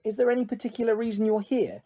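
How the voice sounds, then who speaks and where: A woman voicing a polite, nervous boy wizard who talks in a hushed, hurried way. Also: fairly high pitch, husky, pitched above the performer's own voice. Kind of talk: storybook reading.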